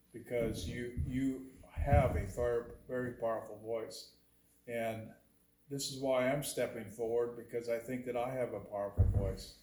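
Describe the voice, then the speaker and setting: An older man running for state senate, giving an earnest, plain-spoken campaign speech at a community meeting and leaning hard on key words. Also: mid-range voice. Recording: echoey hall, faint background noise.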